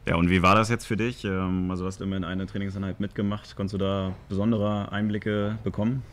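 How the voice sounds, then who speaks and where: Young man, press moderator, flat low voice, faint background noise. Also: fast. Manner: informal, leading questions with fillers.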